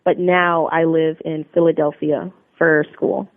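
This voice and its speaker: A woman's voice: sits low, little pitch movement, unhurried, dry recording. Young woman on a tinny phone line. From New Jersey, USA. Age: young adult. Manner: upbeat, earnest and polished. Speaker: interview guest, Army Reserve drill sergeant. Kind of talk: radio interview answers. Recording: narrow phone-line sound, dry.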